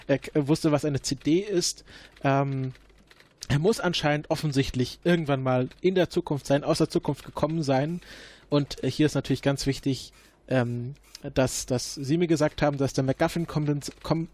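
A man's voice: fairly high pitch, moderate pace, darkish tone, no room sound. A man playing an improvised detective role-play, hesitant and searching for words. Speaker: player.

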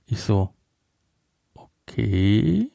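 A man's voice: fairly low pitch, big pitch swings, extremely slow, gravelly, dark tone, dry recording, studio quality. A man hosting a podcast, chatting casually about a film with sarcastic, incredulous asides. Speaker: podcast host. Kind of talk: casual film discussion chat.

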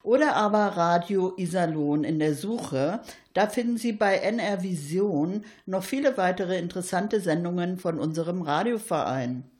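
Female radio co-host, slightly low voice, scripted announcements. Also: animated, moderate pace, darkish tone.

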